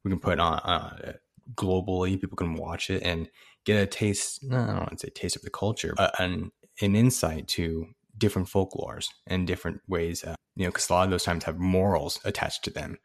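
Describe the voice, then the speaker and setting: A man hosting a casual conversation, asking loose, halting questions. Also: low voice, steady tone, vocal fry. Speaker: podcast host.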